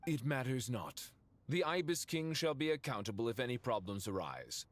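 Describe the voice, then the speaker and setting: A man's voice: medium pitch, sing-song, normal speed, lightly acted. A man playing a stern, imperious ancient spirit in a video game, speaking in a grand, declamatory way.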